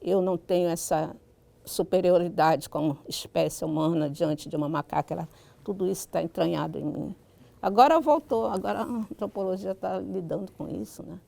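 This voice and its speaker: Brazilian woman, low-pitched enough to be middle range, dark creaky tone, halting reflective delivery for a public talk. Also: fairly even intonation. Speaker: guest author.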